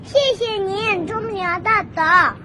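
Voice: extremely high pitch, takes it slowly, a crowd in the background. An actor playing a polite, devoted young crow child in a children's radio drama. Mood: earnest, hurried. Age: child figure. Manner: polite, earnest, eager.

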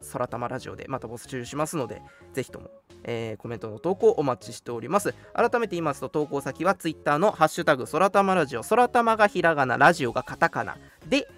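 Young male host for casual radio talk; animated, quick, courteous, chatty delivery over faint music. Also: fairly high pitch. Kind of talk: casual live radio chat with announcements.

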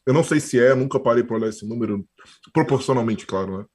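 Quick, husky Brazilian podcast host. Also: male voice, medium pitch, a little mellow. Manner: curious, asks follow-up questions. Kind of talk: casual interview chat.

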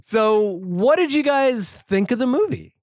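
A man talking through his thoughts in a casual film-review podcast, thoughtful and halting, with many restarts. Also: very high-pitched, lively intonation, dry recording, clean studio sound. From New Zealand. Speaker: podcast co-host.

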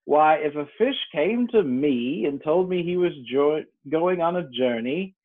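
A man putting on a weary, mournful sea creature with a slow drawl, pitched up and swooping a lot. It comes through a thin, tinny line, like an old phone call. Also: lively intonation. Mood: offended. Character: slow, mournful male Mock Turtle creature.

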